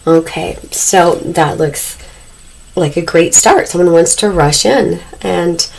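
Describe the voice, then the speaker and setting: Husky, gravelly low-voiced woman. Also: slow pace, rough recording. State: losing her voice, a little husky. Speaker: tarot reader. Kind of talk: casual tarot reading to camera. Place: dim room indoors lit by candles. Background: faint noise from loud neighbors upstairs.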